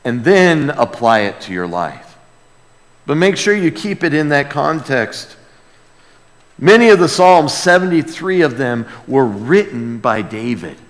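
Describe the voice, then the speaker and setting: A man's voice: medium pitch, very animated, a little slow. Emphatic male preacher in a reverberant hall. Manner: emphatic, reverent, swinging from loud proclamation to hushed emphasis. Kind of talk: expository sermon with dramatic scripture reading. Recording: long reverberant hall sound.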